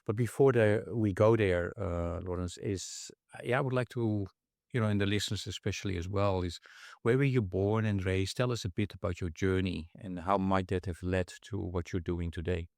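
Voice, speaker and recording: A man's voice: sits low, steady tone, moderate pace, darkish tone, dry recording, studio quality. A middle-aged man hosting a podcast interview, easygoing and chatty, with frequent "you know". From Netherlands. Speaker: podcast host.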